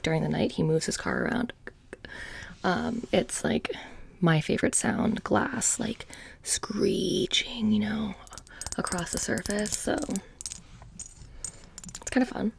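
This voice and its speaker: A woman playing a bored, petty young witch shopkeeper in a soft-spoken ASMR roleplay, casually menacing and deadpan, breathy and often dropping into a whisper. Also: medium pitch. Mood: smug, nonchalant. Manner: deadpan, casual, many drawn-out pauses and filler "like".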